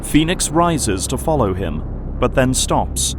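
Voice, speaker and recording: A man's voice: medium pitch, sing-song, slow, background noise. A man delivering dramatic scripted narration for an audio drama.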